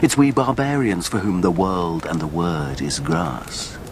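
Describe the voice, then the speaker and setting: A man playing a suave, sardonic secretary to a barbarian warlord in a historical radio drama, speaking in a measured, dryly ironic way. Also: low voice, big pitch swings, background noise. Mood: dry, probing. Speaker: actor.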